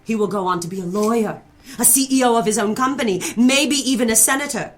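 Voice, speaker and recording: A woman's voice: on the high side, fast, faint music behind, close to an everyday voice. An actress playing a cruel, haughty middle-aged mother in a noir audio drama, scolding and condescending. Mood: furious, disdainful. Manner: imperious, scolding, condescending endearments. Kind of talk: noir radio drama acting.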